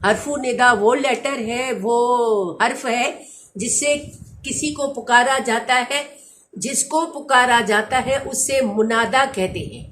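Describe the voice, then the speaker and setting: A woman's voice: on the high side, slow pace, fairly bright tone, a little hiss or hum. A woman giving a lecture on grammar, patiently explaining each word and repeating key terms. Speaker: teacher. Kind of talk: slow Quranic grammar lecture.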